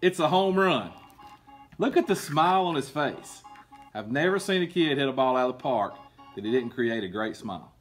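A middle-aged man reading a picture book aloud to children as a coach, explaining in a deliberate, emphatic, instructive way. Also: mid-range voice, expressive, faint music behind. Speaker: baseball coach and PE teacher. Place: indoor school room with book shelves.

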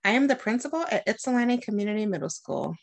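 A middle-aged American woman from Detroit, a school principal, doing an expressive storytime read-aloud with deliberate phrasing. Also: mid-range voice, creaky. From Detroit, United States. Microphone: webcam at about arm's length.

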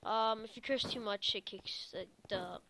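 A woman's voice: a little high, normal speed, creaky, sharp tone. A young woman chatting casually while playing a video game, as host of a gaming video. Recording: clicky, noisy home gaming recording.

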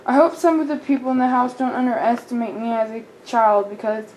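A woman's voice: on the high side, steady tone, fairly quick, a little mellow, slight background noise, natural voice, poor audio. A young woman voicing a precocious nine-year-old girl doll who sounds cocky and matter-of-fact.

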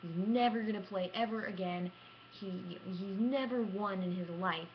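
Casting: young woman, casual theatrical storyteller, medium pitch, lo-fi home webcam recording. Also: moderate pace. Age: young adult. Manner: self-mocking, theatrical, acts out quotes. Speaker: gaming vlogger. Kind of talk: casual animated storytelling to camera. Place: small room at home, indoors. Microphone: computer webcam at arm's length. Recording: dull lo-fi webcam sound with clear noise.

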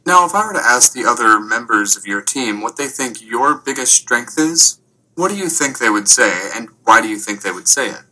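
A man runs a structured interview, asking polite, measured questions. His voice is very bright and crisp in tone. His pitch rises and falls animatedly. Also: expressive, poor audio. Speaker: interviewer, communication course instructor.

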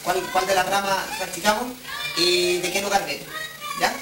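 A man's voice: high-pitched, flat delivery, normal speed, distant mic. A young Chilean man from Santiago, a rapper and workshop leader, explaining a round of introductions in a casual, slangy way. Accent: Chilean Spanish. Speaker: hip hop workshop leader. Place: community hall indoors. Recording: echoey hiss-heavy 1990s camcorder tape from afar.

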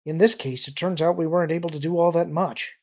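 A man reads a wry, pragmatic woman adventurer from a storybook. She is brisk, dry and matter-of-fact, with a husky voice and some creak. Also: on the high side, fairly even intonation, a little mellow, pitched above the performer's own voice. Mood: brisk, firm.

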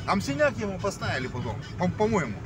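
A man's voice: high voice, sing-song, fast, recorded from a distance, over music. A man vlogging casually from a moving car, rambling and joking as he repeats himself. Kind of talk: casual rambling chat while driving. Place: inside a moving car at night. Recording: loud car engine and road noise.

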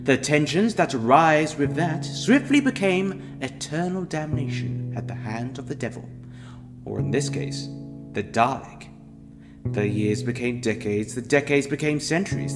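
A solemn storyteller played by a man narrates a dark sci-fi audio drama. His mid-pitched voice moves expressively, with music clearly behind it. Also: expressive, moderate pace, natural voice. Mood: solemn, wry.

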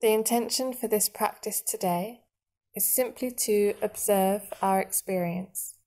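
A woman guiding a meditation in a soothing, measured manner, leaving long pauses between short phrases. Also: medium pitch, mellow. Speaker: meditation guide. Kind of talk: guided body scan meditation narration.

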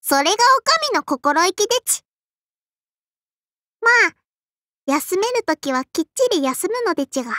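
A woman's voice: very high-pitched, very animated, normal speed, long pauses, fairly bright tone, no echo, studio quality, heavily acted. A woman voicing a tiny, prim and strict sparrow girl innkeeper with a childlike lisp and a cutesy verbal tic, in an anime mobile game. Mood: prim, cheerful. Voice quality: childlike, lisping. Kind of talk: Japanese anime mobile game character voice lines.

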